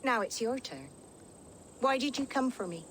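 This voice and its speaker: A woman's voice: on the high side, sing-song, moderate pace, fairly bright tone, slight background noise. A woman playing a plucky middle-aged peasant and protective elder sister in a video game, earthy and forthright. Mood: weary, frank. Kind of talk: video game cutscene acting.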